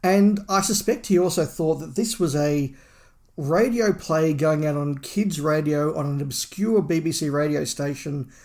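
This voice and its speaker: A man's voice: fairly high pitch, darkish tone. A middle-aged man talking at length and with emphasis as a podcast co-host, in a casual review discussion.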